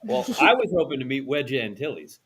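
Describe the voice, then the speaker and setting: A man's voice: medium pitch, wildly swooping pitch, brisk, creaky, fairly bright tone, slight background noise. A man in casual fan banter, talking in an enthusiastic, joking way. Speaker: radio show personality and guest.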